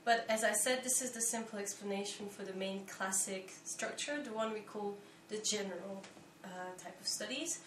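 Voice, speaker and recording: A woman in her late twenties from Belgium, answering interview questions in a thoughtful, measured way with frequent pauses. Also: mid-range voice, fairly bright tone, distant mic. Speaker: interviewee, volunteer. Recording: echoey room, faint background noise.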